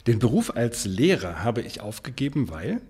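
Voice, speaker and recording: A male radio host reading a scripted introduction, then interviewing a guest in measured tones. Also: mid-range voice, sing-song, a little mellow, slightly rough. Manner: measured, sentence prompts.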